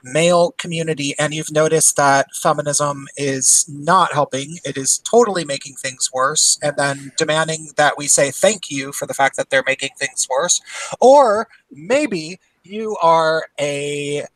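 Chatty host guy, kinda high voice, dry close sound, laughs a lot. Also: unhurried, a bit bright, dry recording, low-quality recording. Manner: chatty, laughs often, trails into restarts. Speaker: podcast host. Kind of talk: casual chat.

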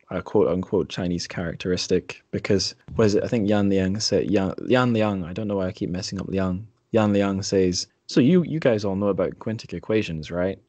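Male podcast host, low voice, casual bookish conversation, dry close sound. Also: fairly quick, dark tone, dry recording, highs cut off. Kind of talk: casual literary discussion.

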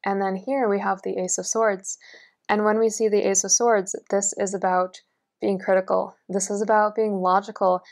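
A woman's voice: medium pitch, level tone, moderate pace. Woman, young, tarot reading, encouraging, slightly worn voice, indoors at a table. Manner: earnest, encouraging, lots of fillers. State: losing her voice. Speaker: tarot reader. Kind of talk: pick-a-card tarot reading. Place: indoors at a table, only hands seen.

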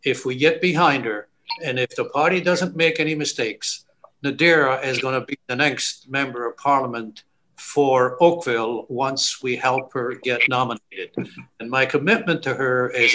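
A man's voice: medium pitch, steady tone, normal speed, bright, forceful tone, low-quality recording. An older man giving an emphatic, rallying endorsement on a video call from his living room. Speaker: supporter. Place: home living room, indoors. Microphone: video call webcam.

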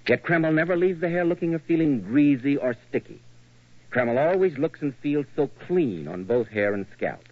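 A man's voice: on the high side, lively intonation, normal speed, no room sound. An American man hosting and reading sponsor copy in a polished, emphatic 1940s radio announcer style. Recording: dull, lo-fi old radio recording.

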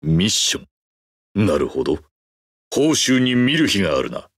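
A man's voice: on the low side, sing-song, moderate pace, breathy edge, no room sound, studio quality, heavily acted. A man voicing a stern, proud horned demon trainer in a mobile game, giving clipped, imperious, grandiose commands. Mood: commanding. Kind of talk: anime game character voice acting.

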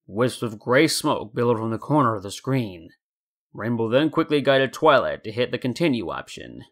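A man's voice: medium pitch, big pitch swings, moderate pace. A man reading scripted story narration as the narrator. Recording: guitar music behind the voice. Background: soft guitar music.

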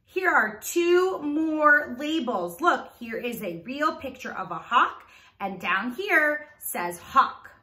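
Animated high-voiced woman teaching young children. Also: slow, echoey room. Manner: animated, enunciated, prompting listeners with questions. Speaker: teacher reading a children's book aloud. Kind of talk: read-aloud lesson for young children.

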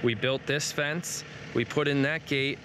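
A man's voice: medium pitch, fairly even intonation, measured pace, sharp tone, slight background noise. A man in his forties walking around a farm, talking casually and joking while he films himself at arm's length. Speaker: farm vlogger. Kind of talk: casual vlog narration. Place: outdoors on a farm. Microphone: handheld camera at arm's length.

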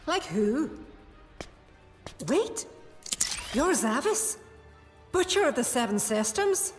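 A woman's voice: high-pitched, very animated, slow pace, full character voice. A woman playing a stern female prison security guard who scolds and explains, with music behind. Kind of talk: fan audio drama acting. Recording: long reverb with music behind.

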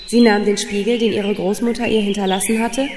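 A woman's voice: medium pitch, steady tone, fairly quick, darkish tone. A woman storyteller reading a scripted fairy tale for children. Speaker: storyteller, narrator. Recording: long reverb, music underneath. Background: music.

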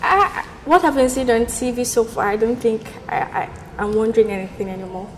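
A woman's voice: a little high, fairly quick, slight background noise. A young Nigerian woman in her twenties on a blind date, speaking in a blunt, teasing and direct way. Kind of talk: casual conversation. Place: indoor restaurant with large windows. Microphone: lapel mic.

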